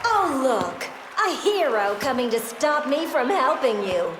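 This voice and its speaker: A shrill, manic showwoman villainess. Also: big pitch swings, measured pace, reverberant, in a large hall, background noise. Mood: theatrical, gleeful. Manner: showy, sing-song preaching like a motivational guru. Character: manic theatrical villainess selling self-help to crowds. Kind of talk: video game cutscene acting.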